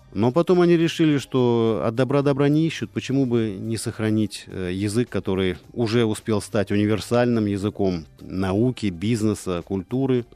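A man's voice: lowish voice, a little mellow, no echo. A man speaking as an expert radio guest, explaining in a lecturing way with frequent fillers. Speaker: expert guest on languages of India.

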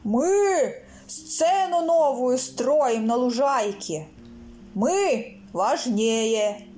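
A woman's voice: high-pitched, expressive, extremely slow, dark tone, faint music behind, full character voice. A woman voicing a cocky, thuggish construction tool in a storybook, with a slangy, threatening manner. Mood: self-important.

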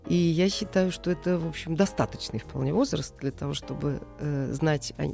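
A middle-aged woman giving thoughtful, halting interview answers as a guest, with emphatic phrases. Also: deep voice, vocal fry, warm tone, soft background music. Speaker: guest, animation film director.

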